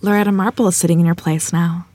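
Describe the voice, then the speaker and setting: A woman's voice: lowish voice, fairly even intonation, fast talker, mellow, no room sound, studio quality, natural voice. A woman playing a modest, polite rural wife and mother in a period table read, speaking with hesitant self-doubt. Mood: tender, concerned.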